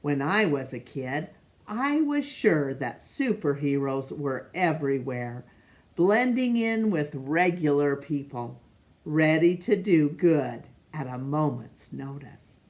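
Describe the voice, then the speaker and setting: A woman reading a picture book aloud to children, expressive and pausing to ask young listeners questions. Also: low voice. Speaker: storytime reader.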